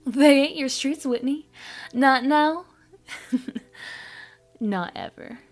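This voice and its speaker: An actress playing a jailed crime boss, vengeful and taunting, in an audio drama. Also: female voice, sits high, faint music behind. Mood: defiant. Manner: taunting, deliberate.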